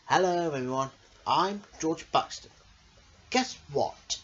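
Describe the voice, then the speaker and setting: A woman storyteller with a low voice that swings dramatically as she reads to children. She takes her time and speaks straight to her listeners, on a slightly dull recording. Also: takes it slowly. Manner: expressive, addresses young listeners directly. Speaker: storyteller and host. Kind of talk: animated children's storybook reading.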